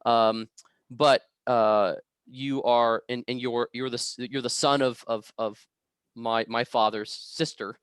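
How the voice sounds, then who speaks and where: Clear-voiced man hosting an interview, halting delivery. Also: medium pitch, steady tone, dry recording. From United States. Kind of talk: informal online book interview.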